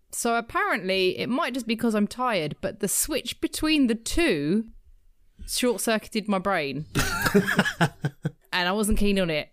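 A woman's voice: mid-range voice, clear, resonant tone, no room sound, clean studio sound. A tired woman co-hosting a podcast, rambling and self-deprecating as she talks about a song. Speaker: podcast co-host. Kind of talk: casual music review chat.

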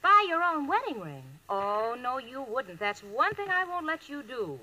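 A woman playing a sensible, wry young roommate with crisp, emphatic delivery in a 1940s radio comedy. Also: sits high, very animated, measured pace, bright, forceful tone, heavily acted. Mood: fond firmness. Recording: faintly noisy old radio recording.

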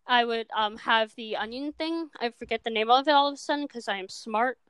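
High-voiced teenage girl, cheap mic. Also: a little fast, a bit bright, smooth, no room sound. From Minnesota. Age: teens. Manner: chatty, filler-heavy, self-deprecating. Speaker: guest animator. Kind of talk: casual chat. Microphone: cheap microphone.

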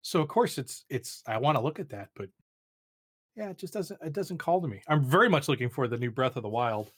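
A man's voice: medium pitch, animated, brisk, breathy edge. A man co-hosting a podcast, talking casually and rambling with frequent restarts and self-corrections. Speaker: podcast co-host. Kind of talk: casual chat.

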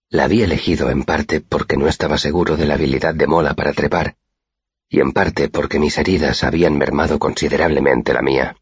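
Very deep, husky male narrator. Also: no room sound. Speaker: audiobook narrator. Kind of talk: scripted first-person literary narration. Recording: dull, muffled lo-fi audio.

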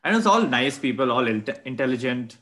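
Brief: young man from Bangalore, easygoing storyteller, mid-pitched voice. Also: sing-song, brisk, fairly bright tone. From Bangalore, India. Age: young adult. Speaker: student. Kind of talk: casual chat.

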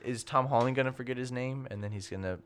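A young man hosting a casual podcast chat, talking excitedly as a fan. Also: medium pitch, slight background noise. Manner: fast, excitable fan talk. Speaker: podcast host. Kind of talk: casual chat among friends.

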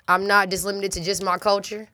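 A young woman rapper in her twenties talks in a loose, slangy, profane way, full of fillers. Her voice is bright and forceful, and the sound is close and dry. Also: medium pitch, level tone, brisk, no echo. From United States. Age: 20s. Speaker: rapper being interviewed. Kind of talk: casual interview chat.

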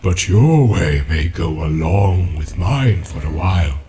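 A man voicing an ancient, slow male tree-giant with a deep rumbling voice, musing and humming between his words. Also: expressive, dark tone, slight background noise. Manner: slow, musing, full of hums and pauses. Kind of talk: audiobook character reading.

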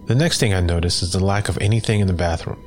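A man reading a first-person scary story as a scripted narration, in a measured, matter-of-fact way. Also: low-pitched, darkish tone, faint music behind. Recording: clear background noise.

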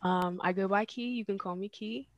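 A young woman hosts a relaxed podcast with an everyday mid-range voice. She leads with easygoing questions full of fillers. The sound is dry and close. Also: medium pitch, moderate pace, no room sound, muffled recording. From Bermuda. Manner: friendly questioning with many fillers. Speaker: podcast host. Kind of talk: casual podcast hosting.

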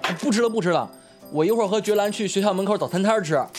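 A man's voice: sits high, very fast, soft background music, natural voice. A male actor playing a brash, foul-mouthed, teasing teenage schoolboy in an audio drama, in slangy exclamations. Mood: impatient. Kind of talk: Chinese audio drama acting.

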